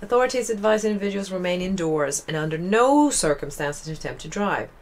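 A woman's voice: fairly low pitch, animated, a little fast. A young woman with a Swedish accent, casually commenting while playing a game, chatty and rambling with wry asides. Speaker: let's play host.

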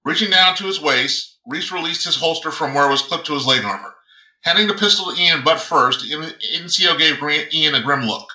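A man's voice: a little high, a little fast, pushed and bright. A man, an author reading his own science fiction novel aloud as dramatic narration, stumbling over a word now and then. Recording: roomy, echoing sound.

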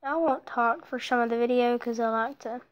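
A woman giving casual commentary over her own mobile block-building gameplay, rambling and often dropping into soft whispered asides, recorded on her phone. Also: a little high, moderate pace, highs cut off. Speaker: let's play creator.

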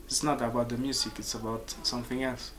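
Young peruvian man, pauses to find words, faint background hiss. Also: medium pitch, flat delivery, a bit bright. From Peru. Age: 20s. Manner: searching for words, halting restarts. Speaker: composer and musician. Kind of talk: casual interview answers. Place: small office with pictures on walls.

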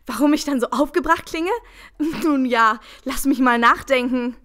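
A woman's voice: sits high, moderate pace, sharp tone. A woman playing a self-assured, irritated housewife in her forties in an audio drama, with an icy, sarcastic, emphatic delivery. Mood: sarcastic, exasperated.

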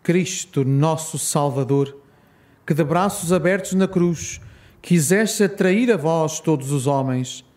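A man with a European Portuguese accent leading a rosary, reciting solemn prayers in a measured, reverent way. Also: a little high, a little mellow.

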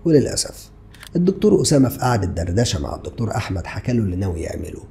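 A man's voice: fairly low pitch, very animated, rapid-fire, vocal fry, mellow, soft background music. A man in his thirties with an Egyptian Arabic accent, narrating a true crime story and asking the listener rhetorical questions. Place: small dark studio indoors. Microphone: podcast mic on stand in front.

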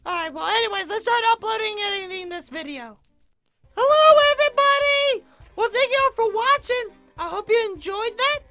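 A woman voicing an excitable, whiny animatronic ballerina in a game roleplay, dramatic and easily flustered. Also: extremely high pitch, moderate pace, soft background music, full character voice. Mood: chatty. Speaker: video channel character host. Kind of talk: Minecraft roleplay video acting.

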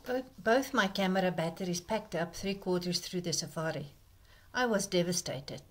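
A woman narrating a birding slideshow as a scripted voice-over, gentle and reflective, with soft breathy asides. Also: on the low side, moderate pace. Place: voice-over recorded apart from the pictured outdoor scenes.

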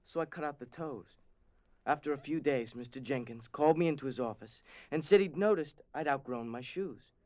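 A man's voice: mid-range voice, normal speed, a little mellow, lightly acted. A man playing a sincere, plain-spoken teenage boy in an audio drama. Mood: sincere, grateful.

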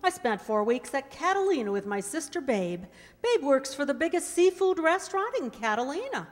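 Wry, breezy female star acted by a woman, highish lilting voice, dry punchlines, radio comedy in an echoey hall. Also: sing-song, normal speed. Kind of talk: old-time radio comedy acting. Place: stage in a large hall. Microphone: stand microphone. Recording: echoey hall stage performance.

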